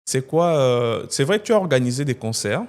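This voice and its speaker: A man's voice: medium pitch, very animated, fairly quick. A man interviewing a guest, asking long, rambling questions full of fillers and restarts.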